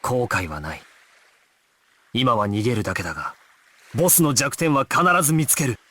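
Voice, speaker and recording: An actor playing a resolute, stoic young gangster squad leader in a Japanese action video game, speaking with solemn, commanding authority. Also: male voice, mid-range voice, very animated, moderate pace, a bit bright, breathy edge. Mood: grave, resolute. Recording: music behind the voice.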